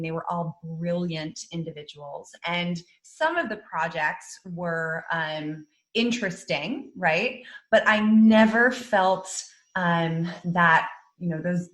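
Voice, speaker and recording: A woman who grew up in the Netherlands, a guest author telling her story in a relaxed, reflective interview. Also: lowish voice. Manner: reflective, earnest, many fillers like um and you know. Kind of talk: casual interview chat.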